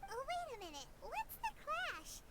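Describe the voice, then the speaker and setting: A woman's voice: very high-pitched, wildly swooping pitch, measured pace, very noisy, exaggerated voice. A woman voicing a small childlike female fairy companion in a video game, chirpy and easily exasperated. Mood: questioning, insistent. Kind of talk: animated video game voice acting.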